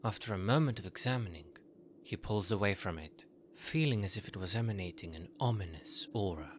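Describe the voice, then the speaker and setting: A man narrating a scripted fantasy audio drama in a measured storytelling manner. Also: lowish voice, lively intonation, a little hiss or hum.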